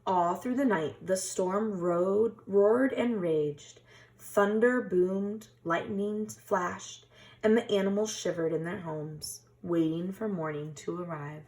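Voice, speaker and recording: A woman teacher reading a children's storybook aloud in an expressive, dramatic way. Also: mid-range voice, slow, rough recording. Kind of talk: scripted children's storybook narration.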